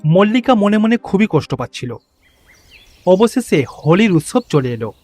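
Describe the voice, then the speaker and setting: A man's voice: high-pitched, very animated, moderate pace, a little mellow, dry recording, soft background music. A man narrating a moral tale as a scripted storyteller.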